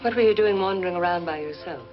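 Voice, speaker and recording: An actress playing the cool, anxious wife of a jealous millionaire in a 1950s horror film, poised and commanding. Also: female voice, on the low side, fast, lightly acted. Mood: cool, probing. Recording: dull vintage film soundtrack with music.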